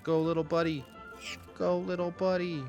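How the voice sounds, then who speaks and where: A man's voice: high-pitched, little pitch movement, normal speed, a little mellow, dull audio, faint music behind. A man giving a casual live reaction to a game, goofy and slangy, often echoing phrases mockingly.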